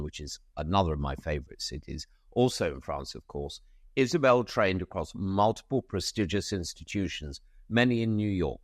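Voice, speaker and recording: A man's voice: sits low, dry recording, studio quality. A man hosting a medical podcast, reading a formal guest introduction and then chatting with many small hesitations. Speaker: podcast host.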